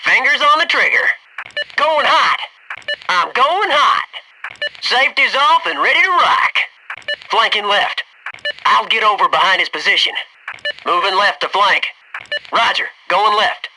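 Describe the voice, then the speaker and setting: A man's voice: high voice, expressive, unhurried, pauses often, noisy background. A male actor playing a loyal, wisecracking special forces soldier in a military shooter game, speaking in clipped military phrases. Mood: tense, urgent.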